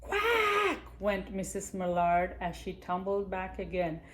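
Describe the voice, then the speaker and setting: A woman reads a children's picture book aloud in an unhurried, storytime manner, pausing now and then to address young listeners. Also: medium pitch, very animated, a bit bright. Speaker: library storytime reader.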